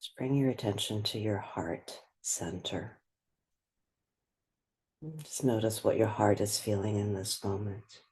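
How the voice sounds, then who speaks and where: A woman leading a guided meditation, speaking gently and without hurry, leaving long pauses between phrases. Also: a bass voice, steady tone. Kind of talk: guided meditation, slow and spoken softly.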